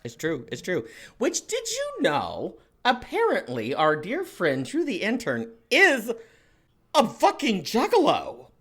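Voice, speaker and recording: A man's voice: high voice, wildly swooping pitch, takes it slowly, fairly bright tone. A man co-hosting a comedy podcast, trading crude, teasing banter and laughing often. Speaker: podcast co-host.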